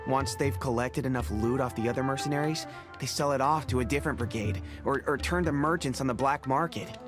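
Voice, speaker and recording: An earnest, friendly male mercenary from a video game, voiced by a man. He explains in a medium-pitched, casual voice, with music clearly playing behind him. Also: brisk, natural voice.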